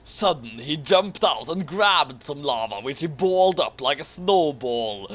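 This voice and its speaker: A man's voice: fairly high pitch, animated, a little slow, fairly bright tone. A man reading a story aloud with casual, amused commentary. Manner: amused, prone to laughing. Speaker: reader of a fan fiction commentary.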